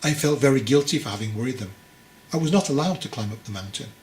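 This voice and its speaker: A man's voice: mid-range voice, animated, fast, echoey room, close to an everyday voice. A man voicing a fragile, anxious young would-be monk in a storybook, hesitant, earnest and deferential. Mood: guilty. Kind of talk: storybook character dialogue.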